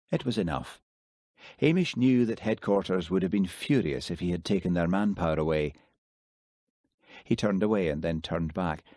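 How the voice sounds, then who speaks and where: A man reading a crime novel aloud in measured, calm storytelling narration. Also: low-pitched, no room sound, clean studio sound. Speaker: audiobook narrator. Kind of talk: scripted narration.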